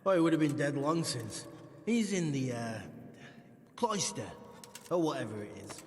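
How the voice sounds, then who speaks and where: A man's voice: fairly high pitch, very animated, normal speed, darkish tone. A man playing a wary, menacing criminal recruiter in a video game, curt, sardonic and coarse in manner. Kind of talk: dramatic video game cutscene acting. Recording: reverberant hall echo.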